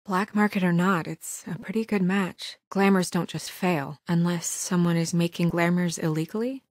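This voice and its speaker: A woman acting in a video game as a composed, weary and stern administrator who speaks in a crisp, businesslike, quietly troubled way. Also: on the low side, steady tone, normal speed, no room sound, clean studio sound, close to an everyday voice. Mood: businesslike, firm.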